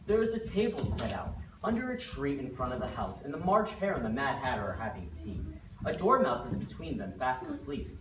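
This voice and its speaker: A woman's voice: rumbling low, sing-song, fairly quick. A woman reading scripted narration in an amateur readers theater performance. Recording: echoey room, distant mic, clear noise.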